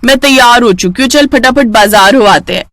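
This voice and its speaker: A woman's voice: a little high, brisk, a bit bright, no echo. A woman voicing a haughty, boastful rich elder sister who bosses people around in a storybook tale. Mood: bossy. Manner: boastful, ordering, dismissive.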